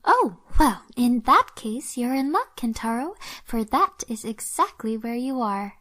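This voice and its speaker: Woman playing a sweet, highish-voiced young lady. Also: big pitch swings, a little slow, exaggerated voice. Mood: reassuring. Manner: polite, gentle. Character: sweet, polite young lady apartment manager. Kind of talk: fan audio drama acting.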